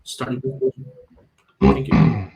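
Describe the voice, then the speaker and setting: A man's voice: mid-range voice, fairly even intonation, normal speed, vocal fry, highs cut off, crowd noise. A man hosting a live online meetup, handing over to the speaker with brief polite remarks. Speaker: meetup host.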